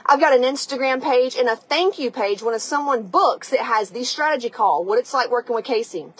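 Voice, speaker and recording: A woman with a high voice and a raspy edge delivers a tough-love motivational monologue as a coach. She is blunt, emphatic and sweary, speaking directly to the listener in a conversational way. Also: brisk, fairly bright tone. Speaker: podcast host and coach.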